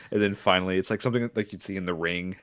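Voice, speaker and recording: A man co-hosting a podcast in casual chat, talking in halting bits full of filler words. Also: low voice, fairly even intonation, a bit bright. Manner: halting, filler-heavy, frequent "like". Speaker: podcast co-host.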